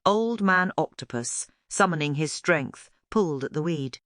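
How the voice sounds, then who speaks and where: Low, crisp-voiced woman storyteller. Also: lively intonation, measured pace, fairly bright tone, no room sound, clean studio sound. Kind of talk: scripted children's read-aloud narration.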